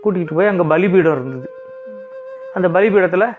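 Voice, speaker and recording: A man's voice: high voice, brisk. A man narrating a children's bedtime story informally, in simple conversational language. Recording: thin phone-like sound, music behind.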